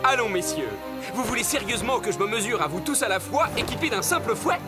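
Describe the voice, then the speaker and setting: A masked young cartoon hero with a suave, teasing delivery. His pitch swings freely, and he treats everyone with mocking politeness. Loud music plays behind him. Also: expressive, quick pace. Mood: playfully mocking. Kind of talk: French animated series dubbing.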